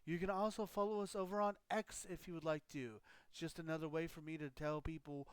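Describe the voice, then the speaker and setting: A man chats through a live game stream, his voice a little high and smooth yet roughened by a scratchy throat. He rambles through explanations with plenty of ums. The sound is close and dry. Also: steady tone, a little fast, no echo. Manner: rambling, loose explanations with frequent fillers. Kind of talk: casual live-stream chat.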